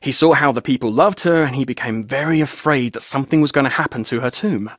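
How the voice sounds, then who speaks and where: A man's voice: medium pitch, quick pace, no room sound, studio quality, close to an everyday voice. An actor playing a chatty, roguish male tour guide in a video game, with a glib, jaunty, theatrical way of telling things. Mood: wistful storytelling. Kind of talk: video game character dialogue.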